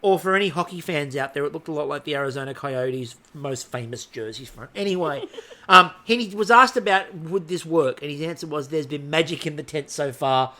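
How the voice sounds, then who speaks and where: A man's voice: fairly high pitch, sharp tone. A man co-hosting a podcast, casually and jokingly recapping a baking show and steering the talk along. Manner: brisk, jokey, steering the episode along. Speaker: podcast co-host.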